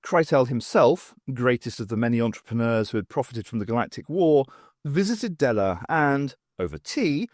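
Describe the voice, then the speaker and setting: A man reading a science fiction audiobook as narrator, with measured storytelling and deliberate phrasing. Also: mid-range voice, very animated, dry recording, clean studio sound.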